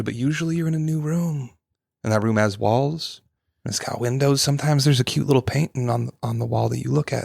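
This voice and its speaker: A man playing a reckless, smooth-talking hustler who rambles folksy pep talks. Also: medium pitch, darkish tone, dry recording, studio quality, pitched above the performer's own voice.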